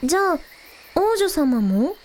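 A woman playing a cheerful, curious young village idol girl, casual and quick to exclaim, in a scripted audio drama. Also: extremely high pitch, very animated, a little mellow, a little hiss or hum, heavily acted. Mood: puzzled, curious.

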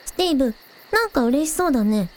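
A woman playing a friendly, excitable young village idol in an audio drama, expressive and shifting between politeness and casual teasing. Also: high-pitched, quick pace. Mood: chatty. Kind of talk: Japanese audio drama dialogue.

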